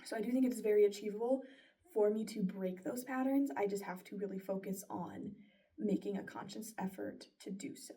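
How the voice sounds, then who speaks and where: A young woman talks through her own performance in a casual, earnest spoken reflection. Her tone is soft and mellow, and she leans on easy fillers like "so" and "like." Her voice sits a little back from the microphone. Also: medium pitch, fairly quick, far from the mic. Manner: chatty, earnest, lots of likes and sos. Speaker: broadcasting student.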